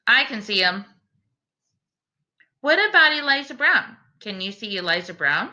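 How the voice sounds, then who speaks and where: A woman teacher doing an expressive children's read-aloud, speaking in an encouraging way aimed at young listeners. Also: medium pitch, measured pace, dull audio. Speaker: teacher reading a picture book aloud.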